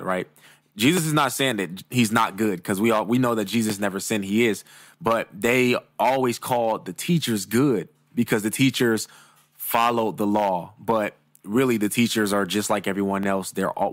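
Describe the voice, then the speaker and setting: A man with a low voice comments casually on a clip, musing out loud with small fillers. He sounds close and dry on a studio mic. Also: moderate pace, no room sound. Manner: relaxed, agreeing, thinks aloud with fillers. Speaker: reaction video host.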